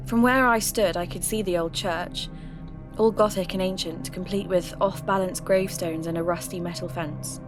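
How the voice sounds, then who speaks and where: A woman playing a radio host turned investigator, giving measured, reflective first-person narration for a scripted podcast drama. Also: lowish voice, steady tone, close to an everyday voice. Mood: reflective, wistful. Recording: music under the voice.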